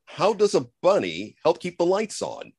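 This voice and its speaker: A man's voice: high-pitched, wildly swooping pitch, moderate pace, a little mellow, breathy edge, no echo, clean studio sound. A man presenting a show's sponsor appeal in playful scripted banter.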